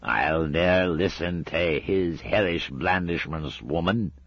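A cantankerous old witchfinder sergeant, as a man puts him on, growling in a very deep, gravelly voice. He scolds fiercely and drags out his words slowly. The sound is dull and lo-fi. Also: dry recording, full character voice.

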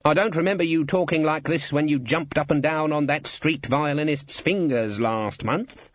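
A man's voice: a little high, moderate pace, lo-fi sound, voice pushed up, natural voice. A man voicing an irritable, domineering guild boss in a storybook reading, growling and sarcastic. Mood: menacing, sarcastic.

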